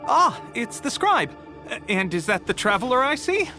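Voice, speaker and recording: An eager, cheerful worker, reporting in a high, bright voice that bounces all over. He sounds keen and animated, with a little game music behind. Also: moderate pace. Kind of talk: video game dialogue.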